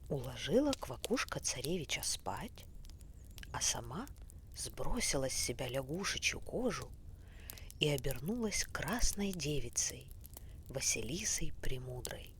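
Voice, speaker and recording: Low soft woman reading a bedtime fairy tale, whispery, slow, faint fire crackle behind. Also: sing-song. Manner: soft, often whispery, unhurried. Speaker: storyteller. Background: faint fireplace crackle.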